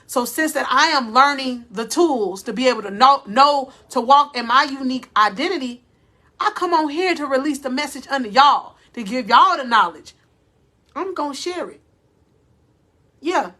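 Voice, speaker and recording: A woman life coach giving a faith-based motivational talk, with a loud voice, speaking deliberately slowly and calling her listeners "y'all". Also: high voice. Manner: loud voice by her own account.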